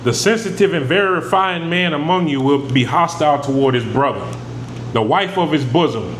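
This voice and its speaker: A man's voice: a little high, expressive, moderate pace, fairly bright tone. A man teaching scripture, reading verses aloud and then explaining them in plain, emphatic talk. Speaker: preacher. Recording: echoey room with air conditioning hum.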